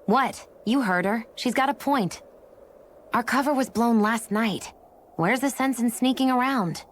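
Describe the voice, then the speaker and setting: A woman's voice: on the high side, a little slow, slight background noise, natural voice. A woman playing a curt, pragmatic young outcast in a video game cutscene. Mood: brusque. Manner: curt, brusque. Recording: music behind.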